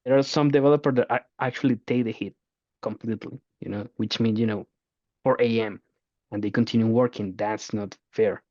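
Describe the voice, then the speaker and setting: A man answering interview questions in a halting way, often repeating words. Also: on the low side, fairly even intonation, mellow, no echo. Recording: dull, dry lo-fi audio.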